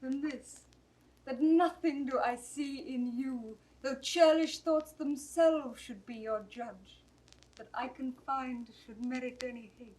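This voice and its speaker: An actress playing a dutiful, composed young princess in a 1960s Shakespeare audio drama, speaking verse carefully and formally. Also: female voice, high voice, slow, darkish tone, low-quality recording. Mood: demure, composed.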